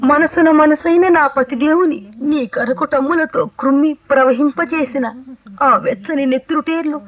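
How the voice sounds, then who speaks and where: High-pitched grieving widowed queen. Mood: grieving, wounded. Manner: theatrical, drawn-out lamenting delivery. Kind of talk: 1980s radio mythological play, declamatory verse and dialogue. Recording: dull old radio recording with music.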